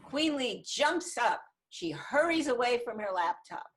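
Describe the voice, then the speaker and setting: A woman's voice: on the high side, very animated, unhurried. A woman reading screenplay stage directions as scripted narration over a video call.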